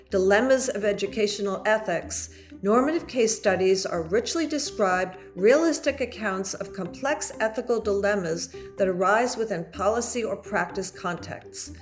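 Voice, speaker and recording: A woman reading a scripted podcast introduction as a presenter. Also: medium pitch.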